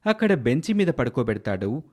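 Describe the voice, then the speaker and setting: A man's voice: mid-range voice, very animated, brisk, a little mellow. A man narrating a scripted story as a storyteller.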